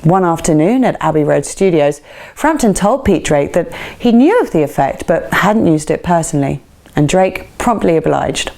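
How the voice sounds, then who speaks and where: A woman presenter reading a scripted radio-style feature in a measured, presenterly way. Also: sits low, lively intonation, vocal fry, a little mellow. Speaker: presenter of a rock music feature.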